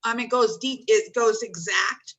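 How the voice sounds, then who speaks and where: A woman's voice: on the high side, a little slow, a bit bright, slightly rough, highs cut off. A woman in her fifties, talking earnestly in a spiritual chat and repeating her thanks. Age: 50s.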